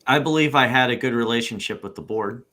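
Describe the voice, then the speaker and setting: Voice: mid-range voice, quick pace, bright, forceful tone, lightly acted. A reader acts out a male businessman testifying in a deposition. He is evasive and noncommittal, hedging his answers as the transcript is read aloud. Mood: evasive, stubborn.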